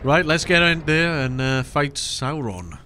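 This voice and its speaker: A man's voice: a little high, sing-song, unhurried, bright, forceful tone, slight background noise. A man chatting casually with co-op partners while playing a video game. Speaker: gamer in a co-op playthrough. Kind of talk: casual chat while gaming. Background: game music.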